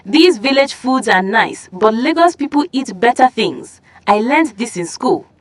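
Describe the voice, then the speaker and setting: A woman's voice: a little high, animated, measured pace, no echo. A woman voicing boastful, scornful young village women who compete as rivals in a folktale. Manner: haughty, competitive.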